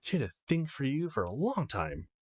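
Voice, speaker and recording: A man's voice: mid-range voice, wildly swooping pitch, moderate pace, dry recording, studio quality. A man voicing a feisty, foul-mouthed teenage girl in a visual novel, brash and confrontational. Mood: jealous, catty. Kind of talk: acted visual novel read-aloud.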